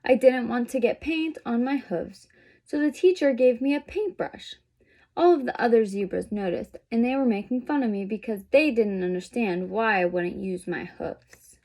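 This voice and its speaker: Woman voicing an upset young zebra boy, highish. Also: normal speed, darkish tone. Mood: earnest, troubled.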